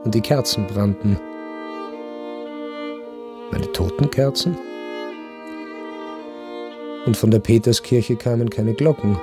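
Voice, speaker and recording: An actor playing a melancholy aristocrat who narrates a literary radio drama in a brooding, deliberate way. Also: male voice, on the low side. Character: melancholy Viennese aristocrat recounting his lonely last night. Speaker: first-person narrator. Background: string music.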